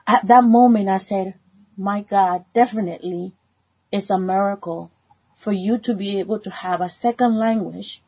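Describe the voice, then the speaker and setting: A woman's voice: mid-range voice, normal speed, slightly rough. A woman whose first language is Spanish, sharing a spontaneous, heartfelt personal testimony as a church member. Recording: muffled lo-fi audio.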